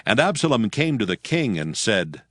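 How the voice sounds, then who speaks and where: A man's voice: fairly low pitch, very animated, moderate pace, dry recording, studio quality. A man giving a solemn scripted narration of scripture in archaic English. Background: faint music.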